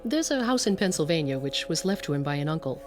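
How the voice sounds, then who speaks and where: Low-voiced, resolute wife and mother. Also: sing-song, fast talker. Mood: composed, resolute. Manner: formal, composed, firm. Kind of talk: radio drama acting. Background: faint music.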